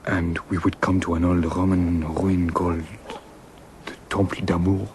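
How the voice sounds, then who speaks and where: A man's voice: low voice, level tone, darkish tone, close to an everyday voice. A man playing a gallant, hesitant French duke with a French accent in an audio drama. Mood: wistful, earnest. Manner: halting, courtly. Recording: faint background noise.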